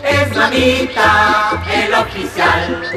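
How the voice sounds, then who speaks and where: Echoey woman singing over loud music. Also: mid-range voice, animated, drawn-out words, distant mic. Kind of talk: singing a children's story song.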